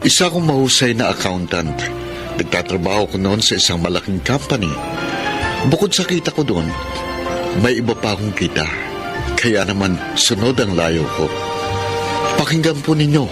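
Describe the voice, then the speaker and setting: An actor playing a self-assured, money-minded young accountant in a Filipino radio drama, speaking casually. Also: male voice, lowish voice, big pitch swings, normal speed, pauses often. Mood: confident, reflective. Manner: casual, confident. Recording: studio radio drama over loud music.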